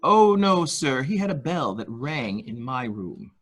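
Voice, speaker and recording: A man's voice: medium pitch, sing-song, unhurried, a bit bright. A man playing a deferential male nurse-attendant in a murder mystery reading, speaking formally, hesitantly and obsequiously. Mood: nervously formal. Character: deferential male nurse-attendant to a rich invalid, primly servile.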